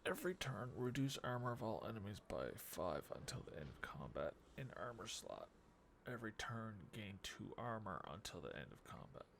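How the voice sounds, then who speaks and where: A man's voice: fairly low pitch, huge pitch swings, normal speed, creaky, fairly bright tone, slight background noise. A man doing casual live gameplay commentary as a streamer, muttering and thinking aloud. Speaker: game streamer.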